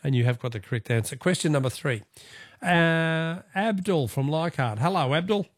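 Chatty Australian man hosting, higher-pitched voice. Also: expressive, no echo, clean studio sound. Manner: chatty, jokey, quick to chuckle. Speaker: radio quiz host. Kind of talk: live phone-in quiz chat.

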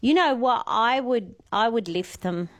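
An Australian woman co-hosting a gardening talkback show, giving relaxed, chatty advice to callers. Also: on the high side, a little slow. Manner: relaxed, chatty, frequent agreeing yeahs. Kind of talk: casual radio advice.

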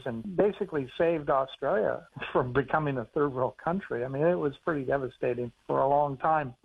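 American man, phone guest on radio, easygoing explainer, slightly high voice, thin phone-line sound. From United States. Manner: easygoing, explanatory, chuckles now and then. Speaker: author and conservation biologist on the phone.

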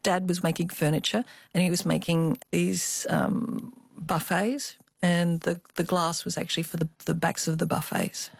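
An older woman with an Australian accent, telling personal memories as an interview guest in a thoughtful, expressive way. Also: on the low side, moderate pace, creaky, hardly any pauses, breathy edge, no echo. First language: Cantonese. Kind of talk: reflective personal storytelling.

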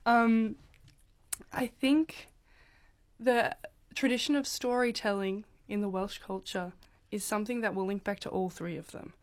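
A woman's voice: medium pitch, steady tone, a little slow. A young woman singer, a guest who answers hesitantly and thoughtfully.

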